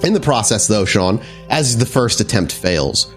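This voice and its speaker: A man's voice: fairly low pitch, sing-song, a little slow. A man running a tabletop horror game, narrating descriptively and searching for words as he improvises. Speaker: game master. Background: background music.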